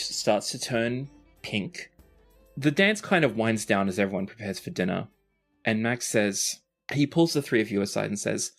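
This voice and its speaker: Male game master, low voice, improvised conversational narration over music. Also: vocal fry.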